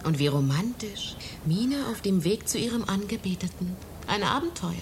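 A woman's voice: medium pitch, animated, normal speed, a little hiss or hum, natural voice. An actress in a German radio drama playing a romantic, impulsive young lady with an airy, dreamy delivery. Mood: romantic, eager.

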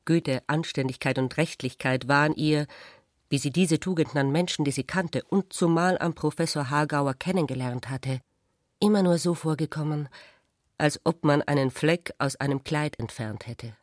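A narrator reading literary prose for a radio play in a measured, formal way. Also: mid-range voice, dry recording, clean studio sound, close to an everyday voice.

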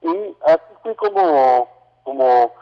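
A man's voice: mid-range voice, huge pitch swings, takes it slowly, creaky, in a large hall, highs cut off. A man from Oaxaca whose first language is Mazatec, talking as a guest over the phone, hesitant with many fillers. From Oaxaca, Mexico. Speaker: guest, visual artist. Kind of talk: phone interview. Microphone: telephone.

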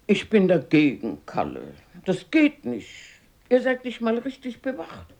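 An older woman acting a feisty, warm-hearted, plain-spoken working-class grandmother in a radio drama. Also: medium pitch, huge pitch swings, a little slow, vocal fry, no echo, exaggerated voice. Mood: grumbling. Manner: plain-spoken, grumbling, down-to-earth.

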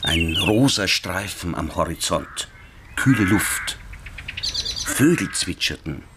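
A man narrating a radio play, with measured, dramatic literary storytelling. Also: low voice, animated, very noisy.

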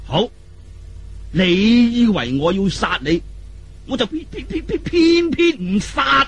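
A man's voice: very high voice, lively intonation, a bit bright, husky, voice pushed up, heavily acted. A man voicing a boastful, contrary old martial artist with a heavy stutter, blustering and drawing out his words in a storybook telling. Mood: excited, contrary. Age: older. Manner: blustering, drawn-out delivery.

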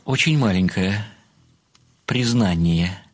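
An actor playing an obsequious, methodical amateur sleuth who speaks formally and deliberately, with flowery deference, in an audio play. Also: male voice, deep voice, faint music behind. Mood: humbly pleading. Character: obsequious, methodical amateur male sleuth, adoring a famous writer.

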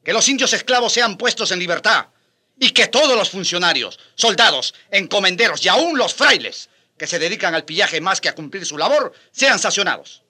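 An aging Spanish friar in a radio drama, full of conviction. His voice sits a little high, husky yet very forceful. He stresses his words with passion. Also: lively intonation, normal speed, full character voice. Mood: indignant pleading. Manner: impassioned, emphatic.

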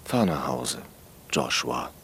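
A man voicing a dry, teasing but lenient policeman in an audiobook, matter-of-fact and deadpan, with faint noise behind. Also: mid-range voice, slow, gravelly, breathy edge, no room sound, heavily acted. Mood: lenient, firm.

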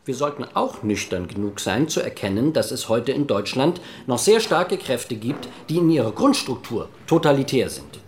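Actor as sober mid-pitched male delegate. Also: sing-song, normal speed, darkish tone, a little hiss or hum, lightly acted. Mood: firm, cautionary. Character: sober, wary male post-war parliamentary delegate. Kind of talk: formal debate.

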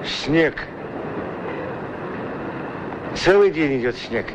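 A man's voice: on the high side, expressive. An actor playing a middle-aged, legless street shoeshine man, musing with wry self-deprecation in an old radio play. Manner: musing, self-deprecating, halting short phrases. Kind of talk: Soviet radio play acting, musing monologue. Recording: noisy 1960s radio drama recording. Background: outdoor street ambience sound effects.

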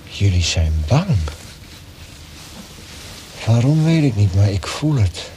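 Worn-out prisoner in a radio drama, slow halting lowish voice, noisy old recording. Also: male voice, big pitch swings, warm tone. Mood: defiant. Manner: halting, pleading, dwelling on words. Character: weary, condemned male prisoner, wary and quietly defiant. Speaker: actor. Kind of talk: radio play acting.

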